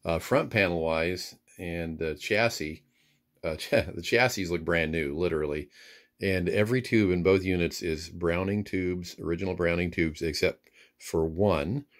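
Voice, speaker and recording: Low-voiced man giving a casual demo. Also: a little slow. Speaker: presenter demonstrating vintage radio gear. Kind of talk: casual unscripted product demonstration. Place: indoors, radio workbench.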